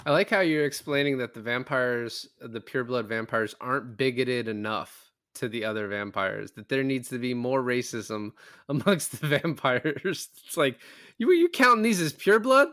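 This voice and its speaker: A man's voice: mid-range voice, animated, normal speed, fairly bright tone, dry recording, studio quality. A man having a casual film discussion in a joking, informal way with some swearing.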